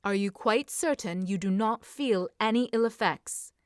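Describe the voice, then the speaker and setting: A woman reading as a stern, protective young gentleman in a period story, formal and insistent. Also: mid-range voice, a little slow, clean tone, no room sound, studio quality, lightly acted. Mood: alarmed, concerned. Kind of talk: audiobook-style character reading.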